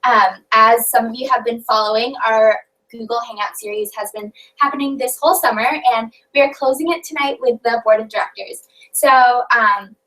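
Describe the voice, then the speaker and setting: A woman's voice: on the high side, steady tone, moderate pace, sharp tone, far from the mic, poor audio. Bubbly young woman hosting a video call. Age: young adult. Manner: bubbly, many fillers. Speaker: host. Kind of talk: casual video call chat.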